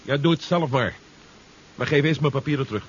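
An actor playing a bold, wry adventurer in a casual radio drama style of the 1970s. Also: male voice, mid-range voice, a little fast, close to an everyday voice. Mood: cool, cheeky. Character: bold, wry adventurer hero, adult man. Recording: dull, noisy 1970s radio recording.

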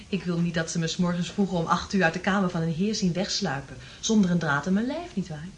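An actress in a radio play playing an affectionate, coaxing and practical lover with a husky, breathy voice. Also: female voice, fairly low pitch, brisk, slight background noise, lightly acted. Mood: affectionate, playful.

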